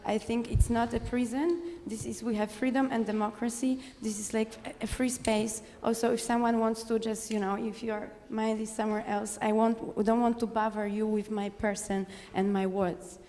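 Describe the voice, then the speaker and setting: A woman from the audience, speaking English as a philosopher at a conference, direct and insistent, often pausing with fillers. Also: on the high side, level tone, dark tone, long reverb tail, slight background noise. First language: Polish. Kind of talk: conference floor remarks. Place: conference hall.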